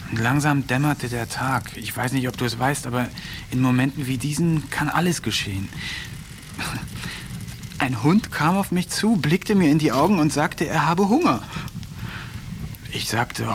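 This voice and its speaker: A man narrating diary entries in a radio play, calm, measured and wry, sometimes dropping to a hushed whisper. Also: mid-range voice, a little hiss or hum. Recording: studio radio play with faint music.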